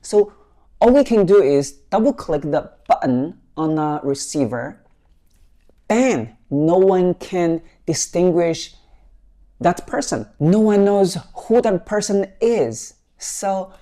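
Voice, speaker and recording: Mellow-voiced man presenting a gadget. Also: high voice, lively intonation, slow. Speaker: vlogger reviewing a microphone. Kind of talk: casual product demo to viewers.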